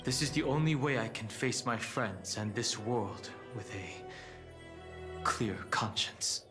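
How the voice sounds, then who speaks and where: An actor playing an earnest young dragon guardian in a video game, solemn and deliberate. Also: male voice, on the low side, expressive. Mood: calm resolve. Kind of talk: dramatic video game voice acting. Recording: game music behind voice.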